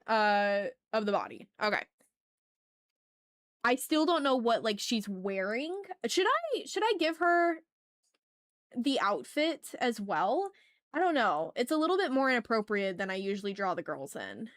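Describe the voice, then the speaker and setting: A woman's voice: medium pitch, fairly bright tone, no echo. A woman chatting casually and playfully with her viewers as she hosts a live art stream. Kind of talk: casual livestream chat while drawing.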